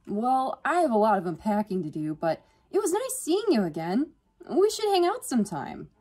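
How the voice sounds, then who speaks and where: A woman's voice: on the high side, big pitch swings, moderate pace, mellow, husky, pitched above the performer's own voice. A woman voicing a cheery, friendly young male college student in an audiobook, easygoing and upbeat. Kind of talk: audiobook character dialogue.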